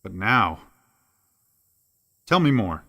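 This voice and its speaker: An actor playing an earnest, astonished professor in a radio play, full of questions. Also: male voice, on the low side, big pitch swings, extremely slow, gravelly, pushed and bright, full character voice. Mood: astonished, questioning. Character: earnest, astonished male professor investigating the uncanny.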